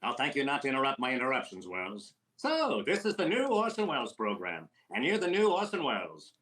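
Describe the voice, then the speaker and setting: Bright, bouncy middle-aged wisecracker, all sly jokes. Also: male voice, mid-range voice, huge pitch swings. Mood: wisecracking. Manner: quick wisecracks, sly teasing delivery. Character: wisecracking fast-talking comedian, male, middle-aged. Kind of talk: old-time radio comedy recreation.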